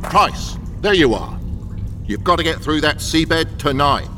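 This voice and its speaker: A man's voice: a little high, expressive, unhurried, fairly bright tone, a little hiss or hum. Male actor as angry gang captain: bullying, swooping delivery, long tunnel echo. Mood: threatening. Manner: emphatic, scolding. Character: furious, domineering male criminal captain. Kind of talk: radio thriller acting.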